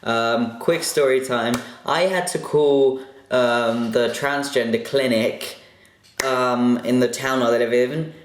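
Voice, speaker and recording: A man's voice: mid-range voice, steady tone, slow pace, a little hiss or hum. A young man casually answering viewer questions in a vlog, chatty and a bit self-conscious, with lots of fillers. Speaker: vlogger. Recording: echoey room.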